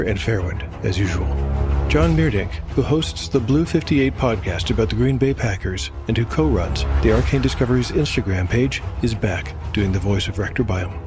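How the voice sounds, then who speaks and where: A man narrating from a script as host, in a measured storytelling manner. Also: mid-range voice.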